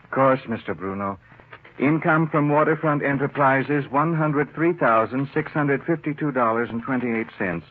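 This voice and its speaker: A hissy, dull-sounding old radio play. A man acts as a meek bookkeeper to gangsters, reciting in a medium-pitched, dry and exact voice. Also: moderate pace, natural voice. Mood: dry, dutiful. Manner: dry, precise, deferential recitation. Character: meek, precise male head accountant to a crime boss. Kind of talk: 1940s radio drama acting.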